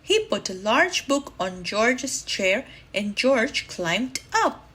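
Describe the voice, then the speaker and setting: A woman reading a children's story aloud, deliberately and expressively, with drawn-out emphasis. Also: a little high, sing-song, fairly bright tone, slightly rough.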